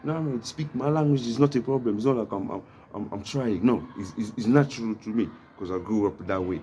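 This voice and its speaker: A man's voice: lowish voice, quick pace, dark tone. Rambling African man, noisy recording. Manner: repetitive, keeps asking "you know what I mean?"; smoking while talking. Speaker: vlogger. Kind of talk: rambling monologue to camera. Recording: noisy background hiss.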